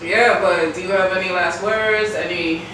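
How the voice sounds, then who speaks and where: A woman's voice: on the low side, fairly even intonation, normal speed. A woman hosting an interview in casual, slangy conversation, with a playful, bantering manner. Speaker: interviewer, host. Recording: distant, very echoey, noisy room.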